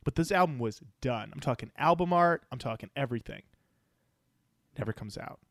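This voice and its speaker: A man's voice: medium pitch, expressive, fairly quick, no echo, studio quality. A man hosting a casual music discussion podcast, talking in an enthusiastic, slangy, joking way. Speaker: podcast host.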